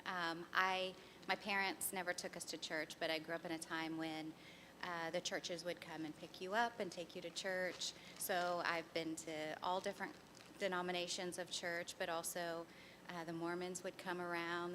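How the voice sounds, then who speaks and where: A woman from the congregation telling her faith story to a church group in a halting, measured way. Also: fairly low pitch, noisy background. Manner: halting and measured, flat delivery. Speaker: congregation member sharing testimony. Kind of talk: personal testimony told to a church group.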